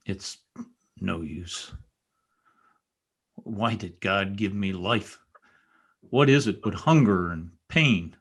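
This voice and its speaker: An actor playing a cruel, tormented gothic master in an old-time radio drama, sardonic, brooding and theatrical. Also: male voice, low-pitched, takes it slowly, long pauses, slightly rough, exaggerated voice. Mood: brooding, bleak.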